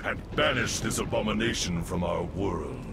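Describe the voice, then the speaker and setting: A man's voice: low-pitched, normal speed, creaky, very noisy. A man playing an ancient priest spirit in a video game cutscene, solemn and grave, speaking in formal oratory.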